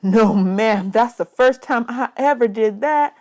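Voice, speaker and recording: A woman's voice: on the high side, measured pace, darkish tone, lightly acted. A woman voicing a polite, wide-eyed young orphan boy in a storybook, folksy and exclamatory.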